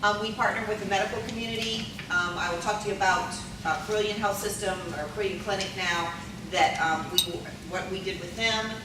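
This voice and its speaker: A middle-aged woman speaks to a conference audience in a loud, friendly teacher voice, often pausing on ums and asking the room questions. She is picked up from a distance in a big, very echoey hall with clear background noise. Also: medium pitch, fairly even intonation. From Maryland.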